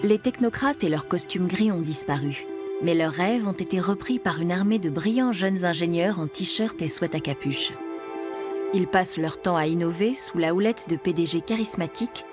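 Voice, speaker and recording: Low-voiced woman narrating a documentary. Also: moderate pace. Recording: music bed under voice.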